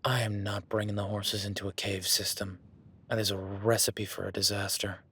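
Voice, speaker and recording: A man's voice: on the low side, level tone, moderate pace, a bit bright, husky. A man playing an easygoing, seasoned cowboy rancher in an audio roleplay, talking to the listener in a folksy, plainspoken way.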